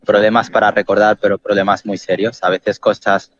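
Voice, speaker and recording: A man hosting a podcast, calmly explaining ideas step by step with examples. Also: on the low side, brisk, a little hiss or hum. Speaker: podcast host. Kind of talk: explanatory casual chat. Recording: dull, muffled sound.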